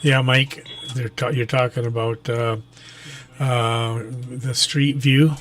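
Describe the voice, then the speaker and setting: A man's voice: medium pitch, flat delivery, slow, a bit bright. A man in a casual ham radio chat, telling things in a hesitant, meandering way. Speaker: amateur radio operator.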